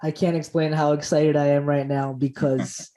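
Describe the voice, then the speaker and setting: Lively man. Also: fairly high pitch, moderate pace. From Costa Rica. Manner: enthusiastic, jokey, laughs often, restarts words. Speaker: guest comedian and speaker. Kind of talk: animated podcast storytelling.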